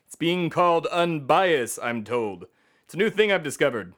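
A man's voice: on the high side, sing-song, normal speed, a bit bright. A man playing America itself as a bombastic, self-important patriotic orator on a satirical comedy podcast. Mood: dismissive, boastful. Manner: pompous, declamatory oratory.

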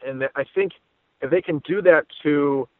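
A man's voice: a little high, moderate pace, dry recording. A man giving conversational sports analysis as a guest, talkative and repeating words as his thoughts form. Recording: tinny phone-line audio.

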